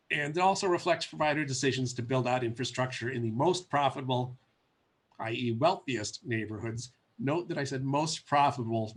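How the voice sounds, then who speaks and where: A man's voice: medium pitch, a bit bright, breathy edge. A man with a cold, sounding stuffy, giving a conversational webinar presentation with frequent ums and uhs. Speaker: policy presenter.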